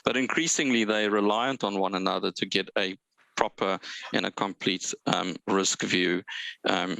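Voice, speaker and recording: A South African man speaking on a video-call panel, his low voice gravelly yet bright. He talks in a measured way with frequent ums and restarts. The sound is dry and close. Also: fairly even intonation, no echo, low-quality recording. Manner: measured, many hesitations and restarts. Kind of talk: webinar panel discussion.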